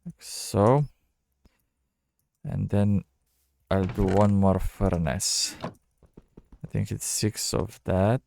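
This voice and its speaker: A man giving casual, low-key game commentary, thinking out loud in halting phrases as he plays. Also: fairly even intonation, creaky, slight background noise. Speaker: gamer narrating own playthrough.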